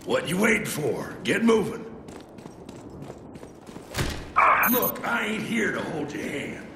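An American actor playing a gruff, cocky, middle-aged CIA field agent in a video game, folksy and condescending. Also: male voice, high-pitched, big pitch swings, moderate pace, background noise. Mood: impatient. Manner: folksy, condescending, tough-talking. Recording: echoey game scene with battle ambience.

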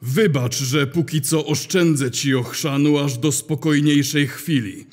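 A man playing a grumpy, sharp-tongued wizard in an audio drama, talking with weary scorn. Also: on the high side, measured pace. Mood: irritated, weary.

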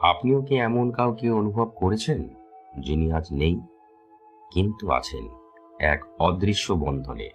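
A man telling a scripted horror story as a dramatic narrator, with measured, suspenseful delivery. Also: low voice, lively intonation. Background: music.

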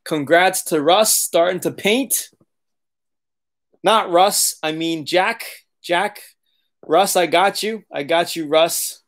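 Late-30s male host, loose and chatty, laughs easily, a touch high-pitched; home webcam livestream. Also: takes it slowly, pauses often, a bit bright. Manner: loose, chatty, laughs often, swears casually. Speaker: auto body channel host. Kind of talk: casual livestream Q&A reading chat. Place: living room, seated on leather couch.

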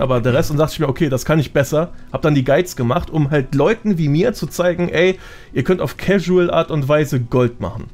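A man's voice: mid-range voice, darkish tone. A man, a podcast guest explaining things in informal, slangy casual chat. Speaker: podcast guest, gaming content creator.

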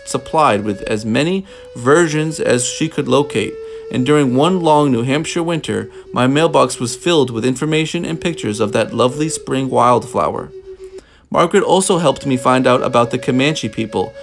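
A man reading a children's picture book aloud as a narrator, in measured phrases with deliberate pauses. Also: medium pitch, creaky. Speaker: storybook narrator.

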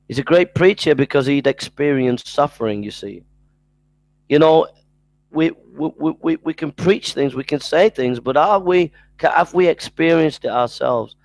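Gravelly man lecturing on a headset. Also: mid-range voice, darkish tone, no echo, poor audio. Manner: earnest, repeats scripture references, stumbles and restarts. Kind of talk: recorded Bible lecture. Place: small room at home. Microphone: headset mic.

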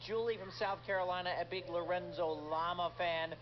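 A man hosting a kids' radio show in an upbeat, chatty, animated style. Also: high voice, a little fast. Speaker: radio host. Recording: dull re-recording off a speaker, clearly noisy.

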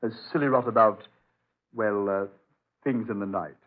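An actor playing a guarded, protective doctor and father in an old-time radio drama, speaking briskly and firmly. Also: lowish voice, natural voice. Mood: evasive. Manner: brisk, firm, evasive. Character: reassuring but guarded doctor, protective father on remote island. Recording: muffled narrowband old radio recording.

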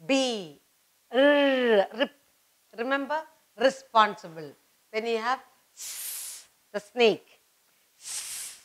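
A woman's voice: a little high, fairly bright tone. A middle-aged woman with an Indian accent teaching young children, speaking emphatically in simple, repeated phrases and questions. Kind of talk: instructional lesson for young children. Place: indoor studio at a whiteboard.

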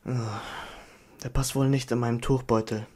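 A woman playing an impatient, bickering, bossy adventurer with a husky voice in an audio drama. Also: extremely low pitch, steady tone, moderate pace, a little mellow. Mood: excited, bossy. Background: background music.

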